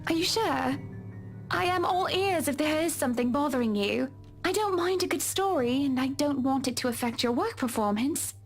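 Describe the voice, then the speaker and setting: Genteel wife, very high voice, motherly, chatty, solicitous, game dialogue, faint music. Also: lively intonation, moderate pace. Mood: coaxing. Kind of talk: visual novel game voice acting.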